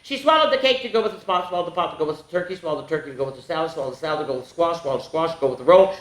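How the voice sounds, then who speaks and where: A woman hosting a children's story time, reading a picture book aloud expressively, with exclamations and asides to the young listeners. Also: low voice. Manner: expressive, exclaiming, pausing to comment and ask the listeners. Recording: echoey room.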